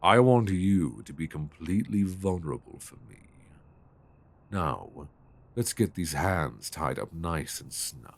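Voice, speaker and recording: A British man playing a stern, possessive, dominant lover in an intimate ASMR roleplay, speaking deliberately and commandingly. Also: low voice, expressive, gravelly, heavily acted. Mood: stern, controlled. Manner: slow, deliberate, commanding with soft endearments.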